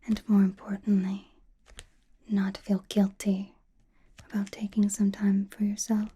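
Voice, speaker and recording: Casting: woman as knowing, gently teasing sorceress, ASMR roleplay. Dark, breathy whisper, even and soothing. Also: medium pitch. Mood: gentle, knowing. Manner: slow, deliberate, soothing. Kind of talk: ASMR roleplay, personal attention.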